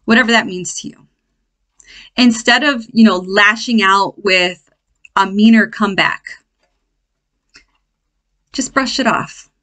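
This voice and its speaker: A woman with a mid-pitched voice talks as the host of a spiritual self-help show. She takes her time and speaks unscripted, in a halting, conversational way. She often stops to check that the point has landed. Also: fairly bright tone. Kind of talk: informal spiritual lesson, speaking off the cuff.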